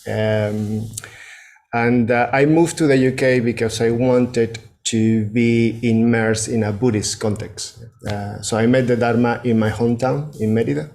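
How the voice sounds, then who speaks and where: A man's voice: medium pitch, fairly even intonation, measured pace, vocal fry, a little mellow. A man from Venezuela with a Venezuelan Spanish accent, giving a reflective Buddhist talk to a group in a hesitant way, often checking in with a "yeah". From Venezuela, Andes.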